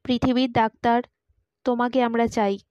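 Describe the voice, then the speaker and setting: A woman voicing a calm alien robot envoy in a measured, matter-of-fact way for an audiobook. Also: on the high side, no echo, muffled recording, pitched below the performer's own voice, natural voice. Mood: solemn summons.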